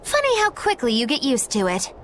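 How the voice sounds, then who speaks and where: A woman playing a languid, sarcastic, mischievous young woman with a weary deadpan manner in a game cutscene. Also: high-pitched, fairly quick, slight background noise, heavily acted. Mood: wry, weary. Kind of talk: English-dubbed anime game cutscene acting.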